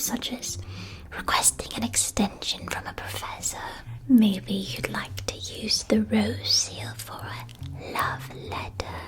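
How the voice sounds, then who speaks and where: A woman playing a gracious, gushing shopkeeper of witch school supplies in an ASMR roleplay, speaking in a soft, breathy whisper with genteel, formal phrasing. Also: mid-range voice, lightly acted. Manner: genteel, unhurried, formal old-fashioned wording.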